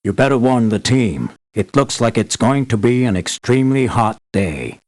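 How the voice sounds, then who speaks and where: A man's voice: medium pitch, animated, moderate pace, a little mellow, lightly acted. An actor playing an earnest, worried father who runs an island compound in a game, speaking formally and dramatically. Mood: concerned. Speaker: mission-briefing game character. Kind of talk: scripted game voice acting, briefing.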